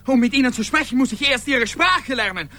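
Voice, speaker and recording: An actor in a German radio play, playing a desperate, devoted everyman lover who pleads haltingly and repeats himself. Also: very high voice, animated, bright, forceful tone, exaggerated voice. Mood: defiant, anguished.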